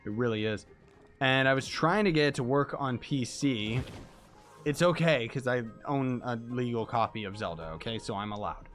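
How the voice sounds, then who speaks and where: A young man chatting casually with viewers while he plays a game, hoarse and losing his voice from being sick, talking in an excitable, laid-back way. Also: medium pitch, moderate pace, soft background music. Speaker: game streamer. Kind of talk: casual livestream chat while gaming.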